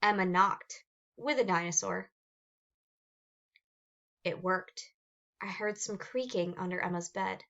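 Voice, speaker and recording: A woman reading a children's picture book aloud with expressive narration. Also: medium pitch, highs cut off. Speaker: storybook reader.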